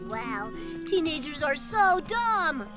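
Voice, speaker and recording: A woman voicing a cocky, mischievous ten-year-old boy in a video game cutscene, sarcastic and excitable. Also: high-pitched, slow pace, over music, exaggerated voice.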